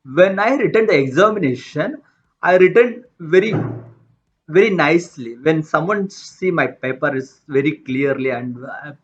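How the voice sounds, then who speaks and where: A man with a Tamil accent, a native Tamil speaker from India, talking about his own life in a halting way, with many restarts and repeated words. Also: on the high side, slight background noise. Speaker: motivational vlogger. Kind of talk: unscripted personal talk. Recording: dull lo-fi sound.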